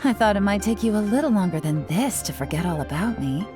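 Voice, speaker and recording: A woman's voice: medium pitch, animated, fast talker, close to an everyday voice. An actress playing a sly, confident female covert agent in a fantasy game, wry and teasing. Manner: wry, teasing, self-assured. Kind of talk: fantasy video game voice acting. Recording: game music behind the voice. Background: background music.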